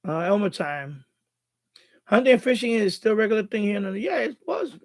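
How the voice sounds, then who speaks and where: High-pitched New man. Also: sing-song, fairly quick, gravelly, no echo. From Bronx, New York City, USA. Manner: conversational, repeats himself, thinks aloud. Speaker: live stream host. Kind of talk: casual rambling live-stream chat.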